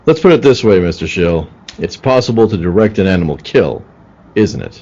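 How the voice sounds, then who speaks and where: Guy playing cool radio detective, low voice, clipped questions, muffled call sound. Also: expressive, fairly quick, darkish tone. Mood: probing. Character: firm, probing police detective, cool and businesslike. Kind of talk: old-time radio play acting. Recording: muffled video-call audio.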